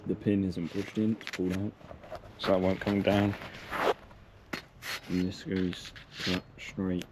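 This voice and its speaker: Low-pitched, monotone young man. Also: heavy background noise. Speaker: vlogger. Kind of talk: casual narration while working on bikes. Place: car, sheds and yard while working.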